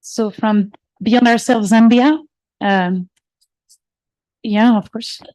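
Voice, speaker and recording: A woman's voice: on the high side, steady tone, slow pace, a little mellow. A woman moderator introduces speakers with courteous formality. Her voice sounds dry and close, with little room echo. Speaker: event moderator. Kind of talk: formal announcements.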